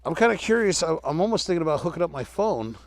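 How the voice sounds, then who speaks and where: A man's voice: on the high side, sing-song, fast talker, dry recording. A man chatting casually with a riding partner outdoors on a trail ride.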